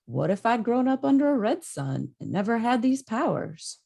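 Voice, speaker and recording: A woman's voice: fairly high pitch, big pitch swings, normal speed, vocal fry, dark tone, heavily acted. A woman playing an earnest, self-doubting male superhero in a conversational script table read.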